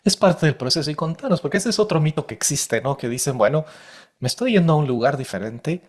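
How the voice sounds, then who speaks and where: A man's voice: fairly high pitch, lively intonation, fast talker. A man hosting an interview, who asks long, winding questions full of hypothetical quotes. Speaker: interviewer, host.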